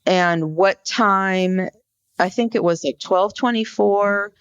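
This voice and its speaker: A woman co-hosting a podcast, explaining a case conversationally in an informal, halting storytelling way. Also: medium pitch, clean tone, no echo, dull audio. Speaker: podcast co-host. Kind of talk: conversational true-crime case discussion.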